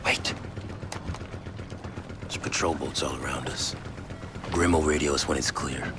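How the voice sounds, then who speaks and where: A man's voice: rumbling low, steady tone, a little slow. A man playing a young, earnest special operative in a video game, with casual, clipped military talk and a wry edge. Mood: businesslike. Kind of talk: cinematic video game acting. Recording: noisy game action soundtrack.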